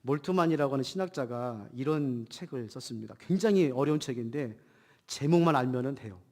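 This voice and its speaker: A man preaching a church sermon as pastor with a cold, emphatic, swinging from loud declarations to hushed asides and putting questions to the congregation. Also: mid-range voice, expressive, brisk. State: has a cold. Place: church hall during a service. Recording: long hall reverb in church.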